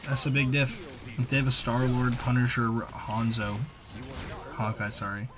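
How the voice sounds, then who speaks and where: A man's voice: mid-range voice, steady tone, measured pace, crowd noise. A man giving casual, slangy, conversational voice-over commentary on video gameplay, as a gaming video creator.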